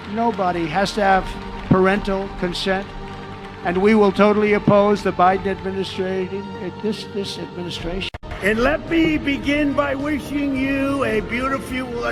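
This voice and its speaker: A man giving a political speech in an emphatic, halting way. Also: high voice.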